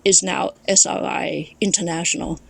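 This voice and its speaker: A woman who grew up in Thailand as a native English speaker, giving a relaxed interview in a slow, thoughtful way with pauses and restarts. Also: fairly low pitch, vocal fry, slightly rough. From grew up in Thailand, raised bilingual. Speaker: interview guest, memoir author.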